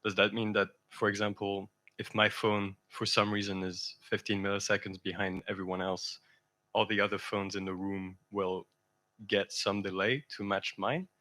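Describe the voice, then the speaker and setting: Bright, even-toned man, lower voice. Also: normal speed. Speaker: audience member asking a question. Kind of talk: conference question, thinking aloud.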